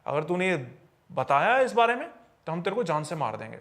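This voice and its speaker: A man with a notably high-pitched voice tells eerie supernatural stories as a podcast storyteller. He speaks conversationally, circling back to repeat and reword phrases for emphasis. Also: very animated, a little fast, a bit bright. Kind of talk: conversational storytelling of paranormal tales.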